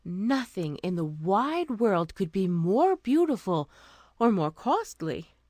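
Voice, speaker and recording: A woman narrating a scripted fairy tale as a storyteller, expressive and deliberate. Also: mid-range voice, no room sound, studio quality.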